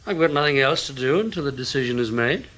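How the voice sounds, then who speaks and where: Male, actor playing guarded spy investigator, mid-pitched, measured, blunt, unyielding, radio drama. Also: lively intonation, a bit bright, close to an everyday voice. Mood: guarded, steady. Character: guarded, stubborn British intelligence investigator, Mr Powell. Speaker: lead actor and first-person narrator. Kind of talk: radio drama acting and narration.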